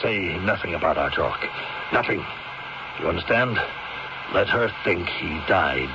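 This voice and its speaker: An actor playing a gruff, suspicious family doctor with hesitant uhs and a stern edge, in a seventies radio drama. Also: male voice, sits low, lively intonation, unhurried, music in the background, exaggerated voice. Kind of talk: 1970s radio drama acting. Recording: noisy, muffled vintage radio recording.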